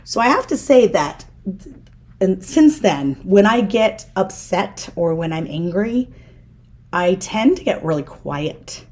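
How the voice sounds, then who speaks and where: A middle-aged woman hosting a casual interview, talking in a loud, firm and direct way. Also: medium pitch, sing-song, slow pace. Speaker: podcast host.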